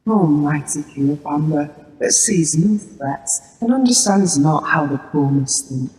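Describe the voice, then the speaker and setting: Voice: medium pitch, lively intonation, unhurried, a little mellow, natural voice. A performer playing a vengeful, mocking witch in a radio play, speaking ominous archaic lines in a declamatory way. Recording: long reverberant echo.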